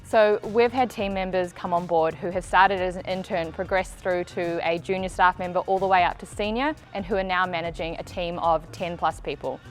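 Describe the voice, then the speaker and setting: Lower-pitched Australian woman presenting. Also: fairly even intonation, moderate pace, hardly any pauses, faint music behind. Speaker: company presenter. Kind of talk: scripted recruitment video narration.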